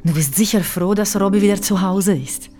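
A woman's voice: mid-range voice, fairly quick, mellow, faint music behind, close to an everyday voice. An actress playing an outspoken, loyal woman musician in a radio drama, with blunt, colloquial delivery. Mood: concerned. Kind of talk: naturalistic radio drama acting.

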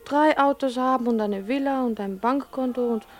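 A woman's voice: sits high, normal speed, soft background music, natural voice. An actress in a 1960s German radio comedy playing a naive, blunt young working woman with a petulant manner. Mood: naive, pouting. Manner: artless, petulant.

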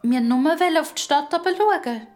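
A woman's voice: high voice, lively intonation, slow, a little mellow, faint music behind, pitched above the performer's own voice. A woman voicing an earnest, guarded but spirited young girl with a Swiss German accent in a children's radio play. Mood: sheepish.

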